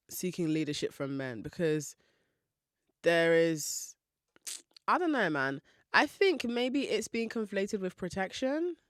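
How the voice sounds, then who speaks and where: A woman's voice: sits low, dry recording, studio quality. A young woman from hosting a solo podcast in a chatty, playful way, often laughing and asking listeners questions. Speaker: podcast host.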